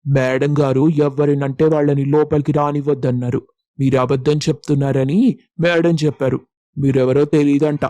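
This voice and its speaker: A man's voice: on the high side, moderate pace, dry recording, close to an everyday voice. A man playing a stern, dismissive watchman in an audio story, curt in his delivery. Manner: curt, flat. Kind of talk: acted dialogue in an audio story.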